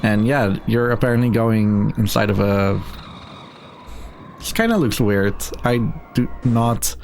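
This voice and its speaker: A man's voice: on the low side, measured pace, darkish tone, a little hiss or hum. A man giving casual, tongue-in-cheek live game commentary as a speedrunner at a marathon.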